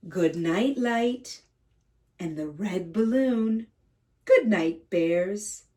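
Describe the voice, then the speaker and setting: A woman reading a picture book aloud to young children as a teacher, speaking to them directly in simple, gentle phrases. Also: mid-range voice, expressive, drawn-out words. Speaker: kindergarten read-aloud teacher and host. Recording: slightly distant microphone.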